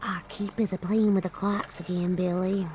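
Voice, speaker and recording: A woman's voice: mid-range voice, little pitch movement, moderate pace, dark tone, a little hiss or hum, lightly acted. An actress playing a tender, teasing young mother and captive starlet in an intimate radio drama, languid and playful in manner. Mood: wistful.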